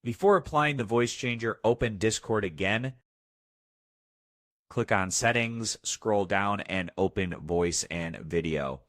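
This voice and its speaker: A man narrating a scripted step-by-step software tutorial as its host. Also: on the low side, slow, no room sound, clean studio sound.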